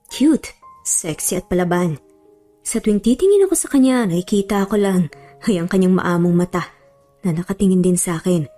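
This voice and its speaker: A woman's voice: lowish voice, dark tone, lightly acted. A woman voicing a lovestruck male doctor who muses in an earnest, brooding, reflective way in a romance story. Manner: reflective first-person musing.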